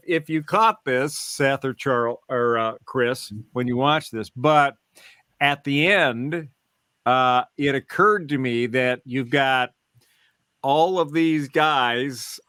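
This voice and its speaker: A man's voice: medium pitch, sharp tone, smooth, no room sound. A man co-hosting a podcast in a casual, conversational style. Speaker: podcast co-host. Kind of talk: casual chat.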